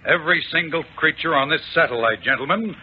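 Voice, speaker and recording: An American actor playing a suave, cold-blooded space gang boss in a 1950s radio serial, with mock-courteous, measured menace. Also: male voice, on the high side, natural voice. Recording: tinny, noisy 1950s radio recording.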